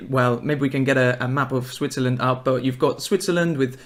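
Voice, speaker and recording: A man hosting a podcast interview, asking friendly, encouraging questions in a relaxed chat. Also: medium pitch, fairly quick.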